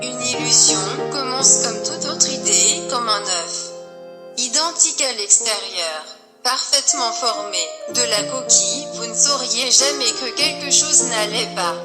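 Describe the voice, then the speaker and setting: Loud music surrounds a woman reading scripted narration. Her voice is on the high side and trails a long reverb. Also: moderate pace.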